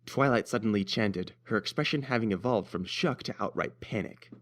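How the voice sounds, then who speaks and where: A man's voice: on the low side, moderate pace, fairly bright tone. A man reading scripted story narration as the narrator.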